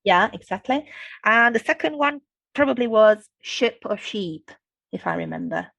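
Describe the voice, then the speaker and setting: Gravelly-voiced Italian woman explaining patiently. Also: a little high, expressive, normal speed, fairly bright tone, no room sound. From Italy. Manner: patient teacherly explaining, often checks with "okay?". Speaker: guest, English linguistics professor. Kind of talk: explanatory interview talk.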